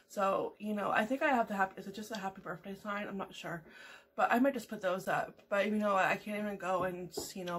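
A woman in her thirties from Canada, chatting casually at home in a rambling way, full of fillers and soft laughs. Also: medium pitch. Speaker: vlogger. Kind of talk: casual morning coffee chat.

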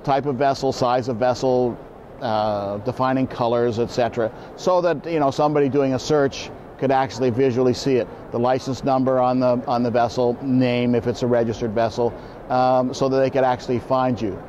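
Man in his fifties, mid-pitched, halting explanatory delivery, slightly muffled recording, faint noise. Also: steady tone, a little mellow. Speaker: boating program manager, expert guest. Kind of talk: informal interview explanation. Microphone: lapel mic.

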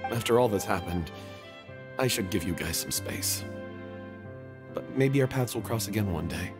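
A man playing a polite, reserved gentleman in an anime game, measured and courteous. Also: lowish voice, expressive, music in the background, close to an everyday voice. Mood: regretful.

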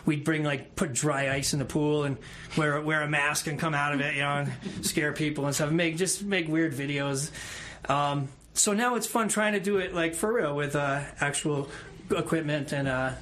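Higher-voiced, rambling, joking man. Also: steady tone, normal speed, fairly bright tone. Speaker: musician and video-maker guest. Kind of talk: casual radio chat.

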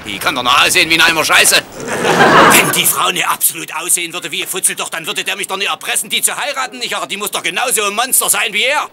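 An actor playing a pompous, exasperated, blustering middle-aged German camp commandant in a slangy comic sitcom dub, with a whining, theatrical manner. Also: male voice, sits high, fast talker, vocal fry, noisy background. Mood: complaining, disgusted.

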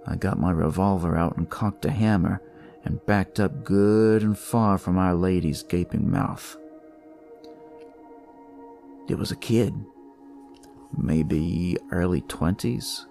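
A man narrating dramatic noir horror in the first person, with a deliberate, brooding delivery. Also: low voice, creaky, mellow. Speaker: storyteller reading in first person as a detective. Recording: music behind the voice.

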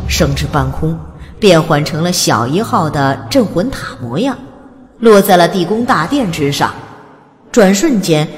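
A woman narrating a fantasy audiobook in an even, storytelling delivery. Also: fairly low pitch, slight background noise. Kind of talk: scripted narration. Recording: long reverb.

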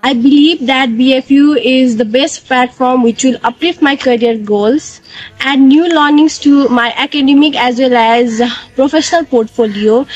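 A young woman from Nepal, a master's student, introducing herself in measured, formal phrasing outdoors. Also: high-pitched. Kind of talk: scripted self-introduction. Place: outdoors by greenery. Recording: slightly dull audio with faint music.

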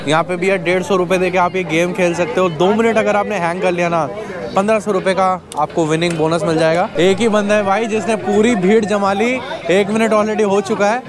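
High-pitched man vlogging. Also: steady tone, a little fast, crowd noise. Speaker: travel vlogger, host. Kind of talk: casual travel vlog narration. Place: out of doors at night and aboard a bus.

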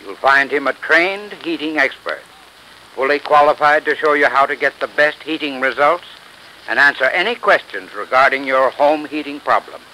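An American man giving a formal, scripted 1930s radio sponsor pitch. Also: expressive, moderate pace, pushed and bright. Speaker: advertising spokesman, heating expert. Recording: noisy 1930s radio recording.

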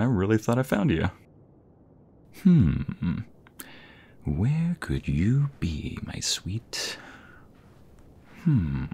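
A man playing a playful, doting young husband in an ASMR roleplay, with a breathy voice that often slips toward a whisper and a teasing, affectionate delivery. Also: sits low, a little mellow, full character voice. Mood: playful, calling out. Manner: teasing, affectionate, drawn-out delivery with pet names.

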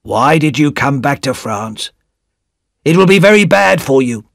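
A man voices a guarded wine-shop keeper who speaks low and quietly, as if confiding. It is an audiobook reading in a dry, close recording. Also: animated, a little slow, gravelly, no room sound.

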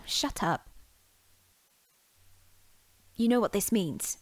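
A woman's voice: mid-range voice, lively intonation, very slow, no room sound. A woman playing an earnest, indignant and melodramatic housemate in an audio drama. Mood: reproachful. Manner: melodramatic, accusing. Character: earnest, indignant, sentimental young female housemate.

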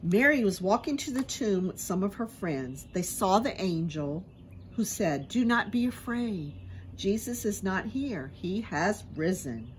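Expressive middle-aged woman reading to children. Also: medium pitch, measured pace, a little hiss or hum, poor audio. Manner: expressive, simple storytelling for small children. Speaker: preschool teacher. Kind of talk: reading a Bible story aloud to young children. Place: outdoors in a sunny grassy backyard. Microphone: camera a short distance away.

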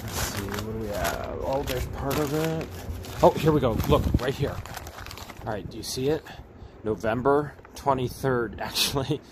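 A man's voice: medium pitch, normal speed, background noise. A man hosting a casual unboxing vlog, exclaiming and repeating himself as he talks directly to viewers. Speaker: vlog host.

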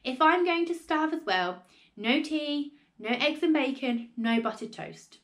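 A woman voicing a domineering, selfish old grandma in a storybook, shouting and accusing. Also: medium pitch, animated, moderate pace, close to an everyday voice. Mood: indignant, demanding.

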